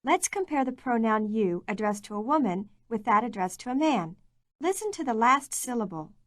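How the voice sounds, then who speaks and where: A woman narrating a language course, reading scripted prompts in a clear, measured way. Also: on the high side, sing-song, no room sound, studio quality.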